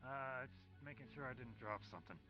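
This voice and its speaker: A man's voice: mid-range voice, lively intonation, fast talker, dull audio, faint music behind, lightly acted. A man playing a scatterbrained, defensive townsman in a fantasy roleplay, rambling casually. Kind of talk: improvised fantasy roleplay in a virtual world.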